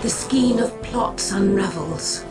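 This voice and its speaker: An actress playing a grave, mystical alien seer leader in a video game, formal and composed in a portentous way. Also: female voice, mid-range voice, slow, close to an everyday voice, poor audio. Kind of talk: dramatic video game voice acting. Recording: loud music under the voice.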